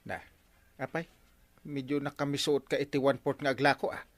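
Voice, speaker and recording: A man's voice: medium pitch, little pitch movement, a little fast, no room sound, close to an everyday voice. A man with an Ilocano accent playing a fretful village captain who worries over money, in an Ilocano radio comedy drama. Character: Kapitan Enteng, village captain, fussy.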